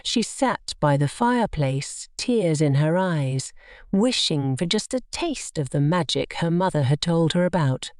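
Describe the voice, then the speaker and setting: A woman narrating a scripted children's bedtime story in an expressive, measured storytelling manner. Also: low-pitched, no echo, clean studio sound.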